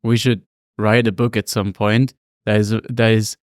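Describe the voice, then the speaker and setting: Clear-voiced man hosting a casual podcast. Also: lowish voice, flat delivery, normal speed, no room sound, studio quality. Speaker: podcast host.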